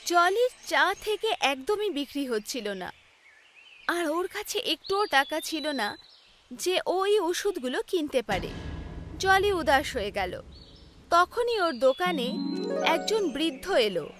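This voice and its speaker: A woman's voice: high-pitched, sing-song, takes it slowly, a bit bright, soft background music. A woman narrating a scripted children's story as the storyteller.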